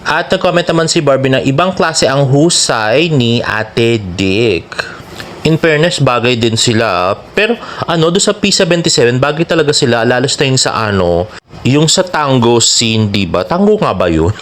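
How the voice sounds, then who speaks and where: A man's voice: medium pitch, expressive, moderate pace, a bit bright. A man with a Filipino accent gives casual, chatty fan commentary as a vlogger, gushing and often adding tag questions. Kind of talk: casual commentary on celebrity social media posts. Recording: clearly noisy background with ambient sound.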